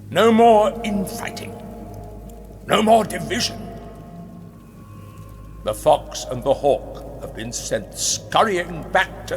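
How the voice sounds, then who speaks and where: An actor playing a grandiose, menacing rabbit ruler, orating theatrically with emphatic, dramatic pauses. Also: male voice, high voice, full character voice. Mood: proud, solemn. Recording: long hall reverb, music underneath.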